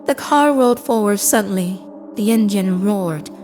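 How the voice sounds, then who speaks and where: A woman playing a calm, impassive AI assistant, narrating a scripted audio drama in a measured, detached, deliberate way. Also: mid-range voice, natural voice. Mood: somber, measured. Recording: cinematic music behind. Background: cinematic score.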